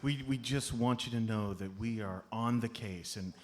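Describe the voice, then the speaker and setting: A man's voice: mid-range voice, normal speed. A man performing live comedic radio drama on stage in a mock-earnest manner. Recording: live stage, faint noise.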